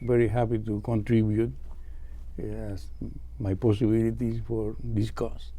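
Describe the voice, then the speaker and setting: An older Cuban man speaks in a very dark, mellow voice. He answers politely and pauses to search for his English words. His Cuban Spanish accent is plain. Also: on the low side, little pitch movement. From Cuba. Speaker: classical guitarist guest. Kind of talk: interview answers.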